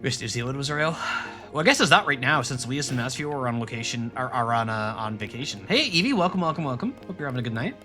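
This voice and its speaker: A man's voice: mid-range voice, animated, fast talker, music in the background. A man from Rhode Island, streaming a game and chatting to his viewers in a rambling, joking way. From Rhode Island, New England. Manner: rambling, joking, talks to chat and the screen. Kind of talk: casual let's-play commentary.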